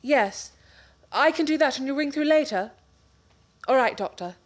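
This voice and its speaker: An actress playing a flustered, worried young wife who fusses anxiously, in 1930s radio-play style. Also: female voice, sits high, lively intonation, moderate pace.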